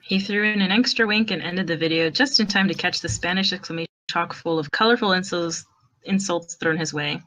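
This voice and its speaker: Female narrator, slightly low pitch, crisp bright tone, expressive fan fiction reading. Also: brisk, poor audio.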